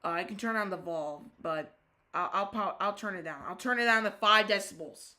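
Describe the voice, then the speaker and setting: Radio hobby host, casual and halting, crisp bright tone, spells out call signs. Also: medium pitch. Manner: halting, restarts sentences, spells call sign phonetically. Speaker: ham radio operator and live-stream host. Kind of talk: casual live-stream chat with radio calls.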